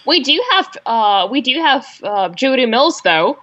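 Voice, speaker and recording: A woman's voice: high voice, sing-song, unhurried, a bit bright, lo-fi sound. A young woman whose first language is Russian, co-hosting a casual fan radio chat, agreeable and full of fillers like "yeah". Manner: chatty, agreeable, many fillers. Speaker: podcast co-host.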